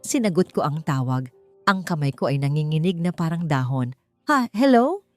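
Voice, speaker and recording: A woman with a slightly low voice narrates a dramatic first-person story. Her delivery is emotive and deliberate, and music plays behind her. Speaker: audiobook narrator.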